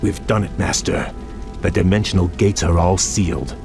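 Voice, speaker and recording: An actor playing a loyal, eager young elven prince in a fantasy game, speaking in a formal, earnest way. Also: male voice, sits low, normal speed, vocal fry. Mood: proud, satisfied. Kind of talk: dramatic fantasy game cutscene acting. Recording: dramatic music under the voice.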